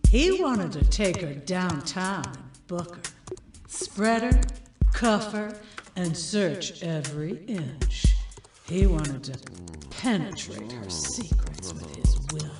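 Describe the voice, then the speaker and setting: An actress playing a seductive woman narrating a steamy screenplay in voice-over, with deliberate delivery. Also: fairly low pitch, big pitch swings. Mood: heated, seductive. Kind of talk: audio play voice-over. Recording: roomy echo, distant, loud music bed.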